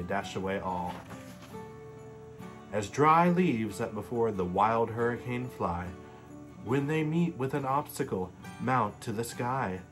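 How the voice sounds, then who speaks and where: Guy in his late 20s reading a bedtime story, gentle and easygoing, faint guitar behind. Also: mid-range voice. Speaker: storybook reader. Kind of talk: reading a Christmas poem aloud. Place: living room couch, indoors. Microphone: webcam facing him at close range.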